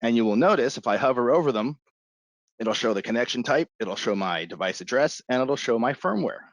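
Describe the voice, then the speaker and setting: A man instructing in an informal, step-by-step way, as in a live software walkthrough. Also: mid-range voice, expressive, brisk, no room sound.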